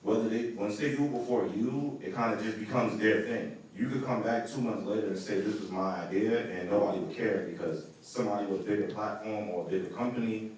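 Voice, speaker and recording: Audience man, deep voice, easygoing and earnest, heard far off in a reverberant hall. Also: a little fast, darkish tone, a little hiss or hum. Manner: casual, earnest questioning. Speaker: audience member asking a question. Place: large indoor event space.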